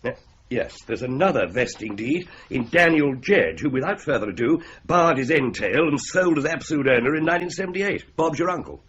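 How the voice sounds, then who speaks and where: An actor playing a genial solicitor with a jovial, ironic, rambling way of talking, in a radio drama. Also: male voice, medium pitch, lively intonation, fairly quick, a bit bright, slightly rough. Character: genial, wry male solicitor. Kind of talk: 1970s radio drama acting. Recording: slightly dull broadcast recording.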